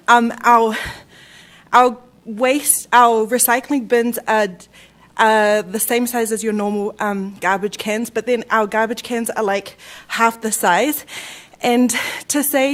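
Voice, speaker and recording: A woman from New Zealand with a New Zealand accent, making a hesitant public comment at a city council meeting with many fillers and restarts. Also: on the high side.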